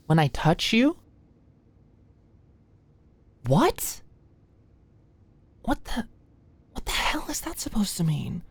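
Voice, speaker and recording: A woman playing an anxious, stressed young medical student in an ASMR audio roleplay, halting and stammering as she talks. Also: medium pitch, a little mellow, no room sound. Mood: snappy, defensive.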